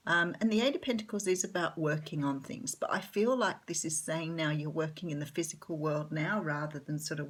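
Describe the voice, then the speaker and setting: An Australian woman with a croaky voice, calmly explaining tarot cards in a solo reading with frequent ums. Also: low voice. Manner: gentle, reflective, frequent ums. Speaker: tarot reader.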